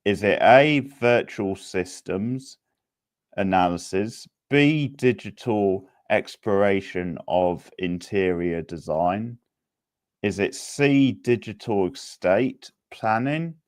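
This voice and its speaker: A man works as the host of a casual quiz about video games. His voice sits low and he takes his time, setting out each option and answer deliberately.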